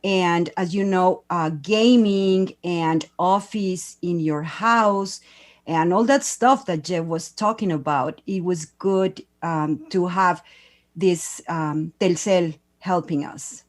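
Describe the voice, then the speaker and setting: A woman's voice: lowish voice, slow pace. A woman from Mexico City with a Mexican accent, speaking English as a second language and searching for words on a panel. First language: Spanish. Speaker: education and culture promoter. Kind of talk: panel discussion.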